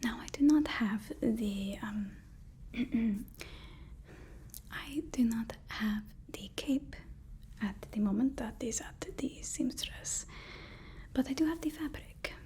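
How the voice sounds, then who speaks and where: A woman whispering an ASMR roleplay as a deferential, fussy personal assistant to a supervillain, speaking haltingly and repeating her phrases. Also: fairly high pitch, mellow, a little hiss or hum. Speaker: ASMR performer.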